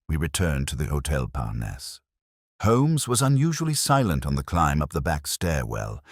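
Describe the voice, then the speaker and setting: A man's voice: deep voice, no echo, clean studio sound. A man reading a scripted story aloud as an audiobook narrator.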